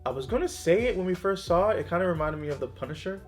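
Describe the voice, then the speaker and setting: Male reaction host, casual and conversational, animated intonation, faint music underneath. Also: high voice, racing.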